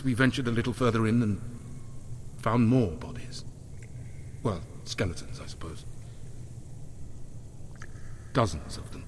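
An actor playing a weary, haunted castaway in an audio drama, halting and formal, sinking into breathy near-whispers. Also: male voice, lowish voice, a little hiss or hum. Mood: grim, puzzled. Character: weary, haunted male castaway reporting horrors with stiff restraint. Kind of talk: dramatic first-person audio drama monologue, recorded-message log.

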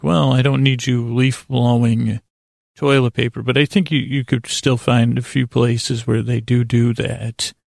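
Male bedtime podcast host: gravelly, even-toned, soothing, a hesitant and self-mocking rambler. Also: mid-range voice, no echo, clean studio sound. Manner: meandering, halting, self-deprecating, repeats himself; lulling, soothing delivery.

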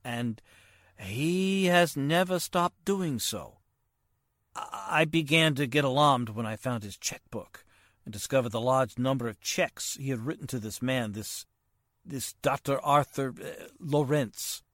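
A man playing a polite, hesitant, worried nephew in 1940s radio drama style, earnest and formal, halting as he speaks. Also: medium pitch, fairly bright tone, dry recording, clean studio sound, lightly acted. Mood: troubled.

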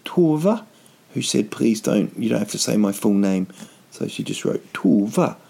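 A man's voice: lowish voice, very animated, normal speed, vocal fry, dark tone, slightly rough. A man in his thirties, rambling through a comic vlog with dry, self-mocking asides and lots of ums. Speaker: vlogger.

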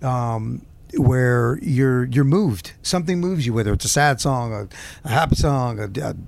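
A man from Southern California talks loosely in an interview, with a gravelly, creaky edge to his mid-pitched voice. He wanders and hunts for his words, speaking close to a handheld mic. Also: animated, unhurried, darkish tone, slight background noise. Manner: rambling, searching for words. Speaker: guest musician. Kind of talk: casual interview. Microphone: handheld mic held close.

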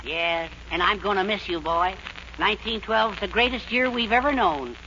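A man's voice: sits high, normal speed, pushed and bright, slight background noise. An actor playing a loyal, sentimental male vaudeville partner in a 1940s radio comedy. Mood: fond, wistful. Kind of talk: 1940s radio sitcom acting. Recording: dull vintage 1940s radio broadcast.

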